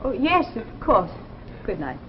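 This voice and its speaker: An actress playing an earnest, troubled wife with a secret, speaking haltingly in 1950s radio drama style. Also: female voice, a little high, big pitch swings. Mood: sweet. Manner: earnest, halting, repeats phrases for emphasis. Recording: dull, noisy vintage radio recording.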